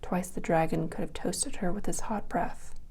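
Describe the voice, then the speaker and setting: A woman reading a children's story aloud as ASMR in a soft, breathy whisper. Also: fairly low pitch, normal speed.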